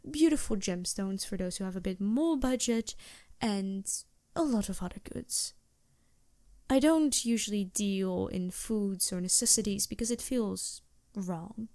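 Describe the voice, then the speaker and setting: A woman's voice: a little high, no room sound. Woman playing a genteel vampire merchant, close dry sound. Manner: genteel, formal phrasing with hesitant asides and soft chuckles. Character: polite, reassuring female vampire merchant, playful and earnest. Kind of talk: fantasy ASMR roleplay acting.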